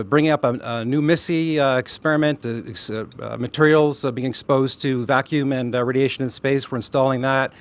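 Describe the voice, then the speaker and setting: Enthusiastic astronaut full of fillers. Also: male voice, mid-range voice. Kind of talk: press statement, loosely spoken.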